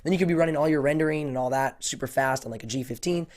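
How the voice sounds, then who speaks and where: A man with a voice hoarse from a cold, answering viewer questions on a casual livestream, rambling with lots of fillers. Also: a little high, racing, a little mellow. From United States. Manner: rambling, many fillers and restarts. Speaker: tech YouTuber hosting a livestream Q&A.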